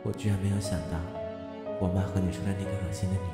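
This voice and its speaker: An actor playing a playful yet wounded, proud young man in an expressive audio drama style. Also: sits low, very fast, loud music behind the voice. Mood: bitter, hurt. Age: young adult. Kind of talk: Chinese audio drama acting.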